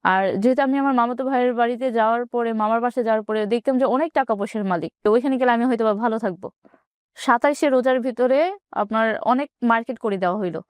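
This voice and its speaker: Interview guest: Bangladeshi woman, twenties, slightly high voice, rapid plain storytelling. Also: no room sound. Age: 20s. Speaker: interview guest telling own life story.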